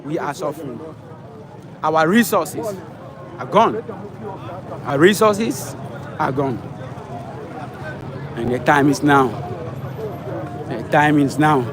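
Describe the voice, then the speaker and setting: A man's voice: on the high side, slow pace, pauses often. A man vlogging fervently from a street march, repeating his rallying lines. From Ghana. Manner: fervent, repetitive rallying speech. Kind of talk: outdoor street vlog commentary. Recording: loud crowd noise outdoors. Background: chanting crowd and sirens.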